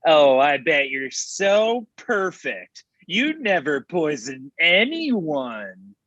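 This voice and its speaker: A man playing a sly, casual friend in a comedy table read, halting in delivery, on slightly dull audio. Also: a little high, very animated, a bit bright, heavily acted. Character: sly, casual male friend secretly poisoning his rival.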